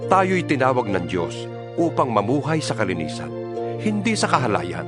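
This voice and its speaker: A man's voice: mid-range voice, wildly swooping pitch, normal speed, music in the background. A man playing an earnest, fatherly apostle exhorting his flock in a dramatized Bible reading. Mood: solemn, exhorting.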